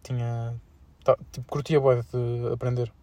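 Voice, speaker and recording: A young man with a European Portuguese accent and a medium-pitched voice. He chats informally as a podcast co-host, using plenty of slang and starting and restarting his phrases. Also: little pitch movement, measured pace, mellow. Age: young adult. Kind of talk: casual unscripted chat.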